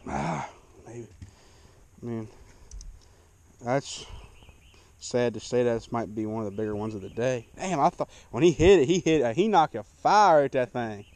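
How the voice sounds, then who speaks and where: A man's voice: medium pitch, normal speed, pauses often, a little mellow. A young man, chatting casually and joking at himself while fishing from a small boat on a lake, picked up by an action camera mic. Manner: laid-back, self-deprecating banter. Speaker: fishing vlogger. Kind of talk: casual outdoor fishing narration.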